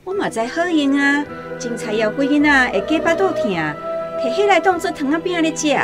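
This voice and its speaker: A woman's voice: high voice, big pitch swings, moderate pace, over loud music. A woman playing a suspicious, exasperated countryside mother in a radio drama, with a Taiwanese accent. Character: suspicious, scolding countryside mother of a small boy.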